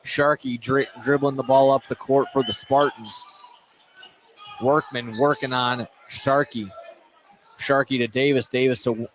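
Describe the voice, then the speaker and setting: A man's voice: medium pitch, fairly even intonation, a little slow. A man calling a live basketball game for radio, following each pass as it happens and often repeating words. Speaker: play-by-play announcer. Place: indoor basketball gym. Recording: noisy gym, clear ambient din. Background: gym noise, bouncing basketballs.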